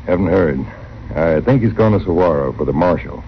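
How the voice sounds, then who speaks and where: An earnest frontier doctor in an old radio drama. His deep American voice rises and falls as he presses his point in a fatherly, persuasive way. The sound is slightly noisy and muffled. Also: lively intonation, brisk. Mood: urgent. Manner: urgent, persuasive, paternal. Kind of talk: old-time radio western acting.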